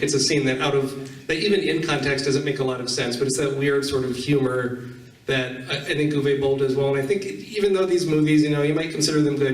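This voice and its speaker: A man hosting an informal convention panel, talking in a halting, conversational way. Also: mid-range voice. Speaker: panel host. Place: convention panel room with audience. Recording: echoey room, distant microphone.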